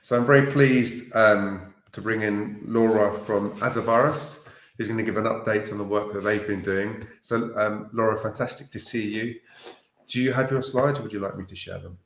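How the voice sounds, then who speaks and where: A man's voice: on the low side, steady tone, normal speed, a bit bright, roomy sound, rough recording. A man moderating an online conference, politely introducing speakers in an upbeat way with some fillers. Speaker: conference host.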